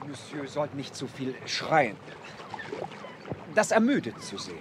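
An unflappable, deferential manservant in a 1970s adventure radio play. His very formal, courteous lines rise and fall expressively while he stays composed. The old recording is clearly noisy. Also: mid-range voice, sing-song, takes it slowly. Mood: calm, deferential. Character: unflappable, excessively polite, devoted male manservant.

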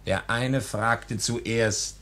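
An actor playing a wry storyteller, narrating a bawdy old tale in theatrical 1940s radio style. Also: male voice, mid-range voice, steady tone, drawn-out words, fairly bright tone. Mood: wry, relishing.

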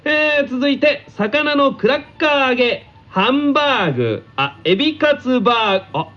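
A man playing a brash, cheeky, food-loving teenage tennis player in an anime radio drama, announcing like an excitable school broadcaster. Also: extremely high pitch, unhurried, dull audio, exaggerated voice. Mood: cheeky, eager.

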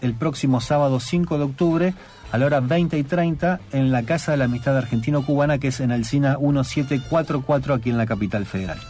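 A man who grew up in Uruguay, speaking with a Rioplatense accent as a radio guest, explaining in a measured way. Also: medium pitch, darkish tone, faint music behind. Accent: Rioplatense Spanish. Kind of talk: radio interview.

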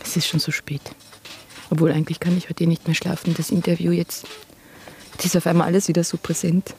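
Actress as a dark-voiced, weary woman. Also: fairly low pitch, flat delivery, a little fast, lightly acted. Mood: subdued, candid. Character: weary, candid woman, former cult member interviewed. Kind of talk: naturalistic radio drama acting.

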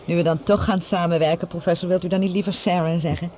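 A woman acting as a self-possessed, guarded woman in a radio drama. Her voice is soft-edged and dark, her manner cool but civil. There is faint noise behind her. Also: on the low side, fairly even intonation, quick pace, close to an everyday voice. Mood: dry, conciliatory. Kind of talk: Dutch radio play acting.

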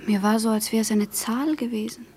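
An actress playing a self-conscious, vulnerable woman in love in a radio play, often hushed and close to whispering. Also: on the high side, fairly even intonation, fairly quick, a little hiss or hum, lightly acted. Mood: wistful. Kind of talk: intimate radio play acting.

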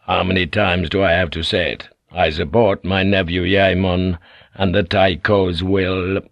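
A man voicing a calm older warlord who speaks deliberately and with quiet command. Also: sits low, vocal fry, no echo, muffled recording. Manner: deliberate, controlled.

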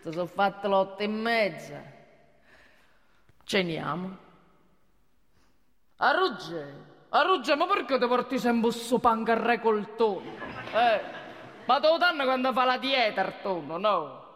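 An actor playing a gruff, earthy night guard with a Roman accent in a rambling comic stage monologue. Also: male voice, high voice, sing-song, unhurried, pauses often, fairly bright tone, full character voice. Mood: teasing. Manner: colloquial, rambling, rhetorical questions. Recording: echoey hall, noisy stage recording.

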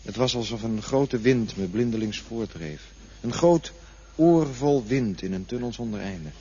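An actor playing a bewildered man who wakes in a coffin and haltingly describes what he saw. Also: mid-range voice, animated, mellow, husky. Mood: dazed, wondering. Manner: dreamy, halting, searching for words. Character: bewildered man waking in a coffin after dying. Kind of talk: radio drama acting. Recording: dull lo-fi radio play recording, faint hiss.

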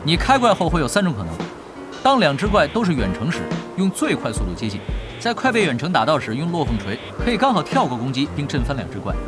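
Shrewd young male gamer, fairly high voice, nonchalant, teasing, audio drama, clear music underneath. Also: huge pitch swings, quick pace. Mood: instructing. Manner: nonchalant, matter-of-fact, teasing. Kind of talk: Chinese audio drama acting.

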